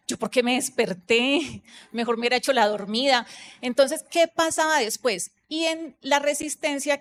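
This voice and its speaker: A woman moderating a festival panel, posing questions in long, flowing turns. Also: on the high side. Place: stage at a public festival panel.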